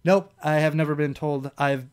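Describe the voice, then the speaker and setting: A man's voice: fairly high pitch, steady tone, moderate pace, clean tone. A young man with a Midwestern American accent who talks casually and rambles. He keeps correcting himself, swears, and laughs at his own mistakes. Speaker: vlog show host. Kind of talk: casual solo commentary, reading quiz items aloud.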